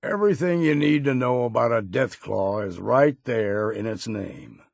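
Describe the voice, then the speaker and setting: An actor playing a grizzled, world-weary old hunter in a video game, with an American accent and a gruff, gravelly, folksy delivery. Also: male voice, medium pitch, normal speed, dry recording, studio quality. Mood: wry, seasoned. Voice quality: gravelly, husky. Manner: folksy, plain-spoken, blunt. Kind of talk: video game character voice lines.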